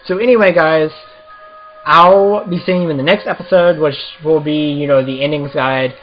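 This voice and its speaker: A man's voice: on the high side, fairly even intonation, moderate pace, lo-fi sound, faint music behind. A man doing a casual let's play, reading visual novel text aloud and commenting as the host of a gaming video.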